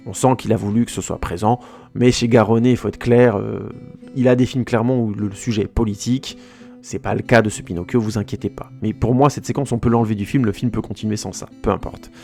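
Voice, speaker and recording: A man with a low, gravelly voice talks through a film review in a loose, chatty way. He trips over his words and restarts his sentences often. Soft guitar music plays faintly underneath. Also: fairly even intonation, fast talker. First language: French. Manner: rambling, many restarts and hesitations, informal. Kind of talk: casual spoken film review.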